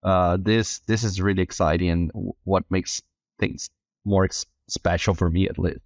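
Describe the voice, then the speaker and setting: A man from southern Brazil with a lowish voice hosts a podcast interview in English, his second language. He gropes for words and restarts often, and the close mic leaves the sound dry. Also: steady tone, dry recording. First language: Portuguese. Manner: halting, many restarts and fillers. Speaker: podcast host. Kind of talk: casual interview chat.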